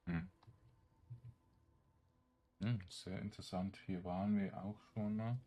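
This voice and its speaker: Male gamer, very low register, unhurried delivery, hesitant off-the-cuff commentary on his own play. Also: steady tone, warm tone. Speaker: gaming streamer narrating his own play. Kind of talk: casual let's play commentary.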